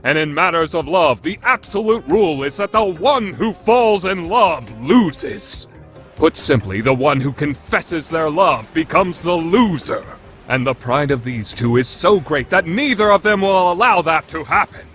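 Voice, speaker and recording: A man's voice: on the high side, lively intonation, a little slow, a bit bright. A man playing a grandly solemn narrator, declaiming scripted narration for an anime radio play. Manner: dramatic, declamatory. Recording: background music under the voice.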